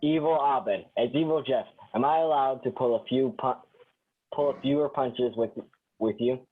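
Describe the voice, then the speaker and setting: A man's voice: medium pitch, moderate pace, slightly rough, lightly acted. Tinny narrow-band audio, man as sarcastic sitcom cynic, bitter. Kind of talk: amateur sitcom table read.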